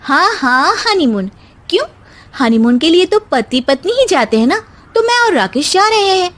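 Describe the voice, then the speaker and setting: A woman voicing a young newlywed daughter-in-law who is polite, calm and firmly assertive. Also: very high-pitched, normal speed, pitched above the performer's own voice, exaggerated voice. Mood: pointed. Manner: polite but firm.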